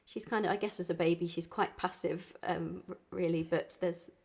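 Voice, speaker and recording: A woman's voice: on the low side, steady tone, moderate pace, gravelly, darkish tone, husky. A woman musician chatting informally and introducing songs, with an easy, laughing manner. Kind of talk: casual chat between songs.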